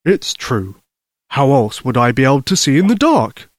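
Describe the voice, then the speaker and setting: A man voicing a jolly, kindly old Santa Claus in a bedtime story, slow, gentle and reassuring. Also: medium pitch, big pitch swings, no echo, studio quality. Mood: jolly, tender.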